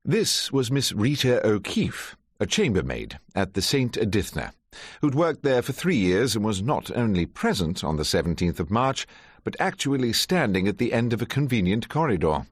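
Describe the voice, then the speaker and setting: A man's voice: on the low side, sing-song, normal speed, husky, dry recording. An actor playing a world-weary English barrister who narrates his own story in a dry, droll way for radio. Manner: droll, wry. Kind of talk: first-person radio drama narration.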